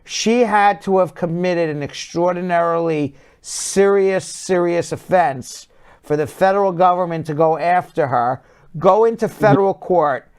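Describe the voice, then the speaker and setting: A man hosting a live call-in advice show as an immigration lawyer, talking briskly and bluntly and repeating words as he cuts in on callers. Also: high voice, smooth. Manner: brisk, blunt, repeats himself when cutting in.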